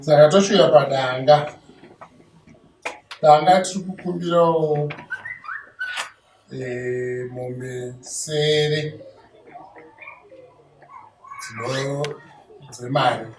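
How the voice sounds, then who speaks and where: A man with a Zimbabwean accent playing a businesslike Shona elder in a dramatized bride price negotiation, listing items deliberately, point by point. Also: mid-range voice, natural voice. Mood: negotiating. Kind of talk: dramatized traditional negotiation, conversational. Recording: echoey, distant mic, clear background noise.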